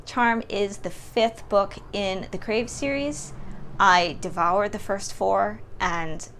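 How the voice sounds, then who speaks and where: A woman's voice: mid-range voice, slow, fairly bright tone. A young adult woman giving a casual, chatty book review as a vlogger talking to her audience. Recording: clearly audible background noise.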